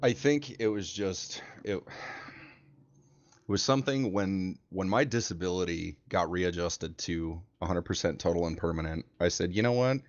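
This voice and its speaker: A man who streams, a guest on a podcast, telling his story in relaxed casual chat. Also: low-pitched, normal speed, dry recording, highs cut off.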